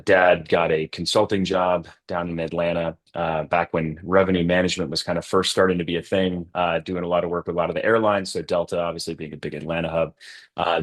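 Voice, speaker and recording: Low-voiced guy from massachusetts, laid back interview talk, lots of uhs. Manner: relaxed, many "uh" fillers and restarts. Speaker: podcast guest, coffee company co-founder. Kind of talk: casual interview chat.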